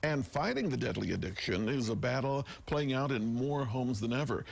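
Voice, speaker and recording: A man's voice: mid-range voice, animated, normal speed, creaky. An American man anchoring a television newscast, reading stories and chatting lightly with colleagues. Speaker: TV news anchor. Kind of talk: broadcast news reading with light co-anchor banter.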